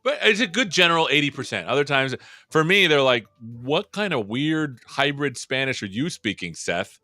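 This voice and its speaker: A man hosts a live tech stream with a bright, forward voice, rambling cheerfully and cracking jokes between greetings. He sounds dry and close to the mic, with a faint bed of music under him. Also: medium pitch, lively intonation, moderate pace, no echo. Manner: jokey, rambling and upbeat. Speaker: show host. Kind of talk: casual live-stream chat.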